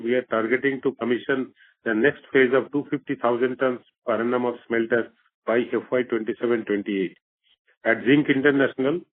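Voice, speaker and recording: A man speaking as a company executive, reading a scripted earnings presentation in a formal, measured manner over a conference call. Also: medium pitch, no echo. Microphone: phone or conference call line. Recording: tinny phone-like conference call line.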